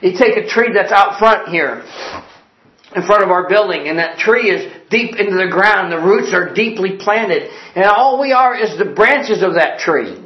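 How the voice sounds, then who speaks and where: A fairly high-voiced man preaches in a church with an earnest, emphatic delivery and repeats his key phrases. His voice rings around a roomy hall. The recording sounds dull and lo-fi. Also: animated, normal speed. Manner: quotes old-fashioned scripture wording. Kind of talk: sermon. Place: church building.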